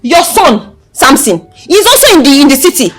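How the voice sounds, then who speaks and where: A woman's voice: high voice, big pitch swings, normal speed, a bit bright. A woman with a Nigerian accent playing an indignant, outspoken young village daughter, insistent and emphatic in a film drama. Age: young adult. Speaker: actor. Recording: background music under the dialogue.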